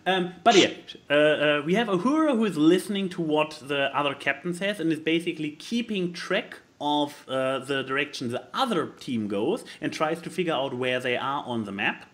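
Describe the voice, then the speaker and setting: A man who is not a native English speaker, chatting casually as a podcast co-host and haltingly searching for words as he explains things. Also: on the high side, animated, a bit bright. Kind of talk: casual chat explaining a board game.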